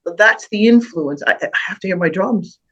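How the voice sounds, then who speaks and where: A woman's voice: mid-range voice, expressive, moderate pace. An older woman from the Bahamas with a Bahamian accent tinged with American, telling stories as a guest in a casual interview, with frequent laughs and restarts. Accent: Bahamian with American touches. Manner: warm storytelling, laughs often, many restarts. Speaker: guest singer.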